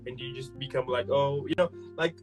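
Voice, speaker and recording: A man's voice: mid-range voice, fairly even intonation, a little fast, music in the background. A man hosting an interview show, asking questions in an eager, upbeat way. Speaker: host. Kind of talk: casual interview chat.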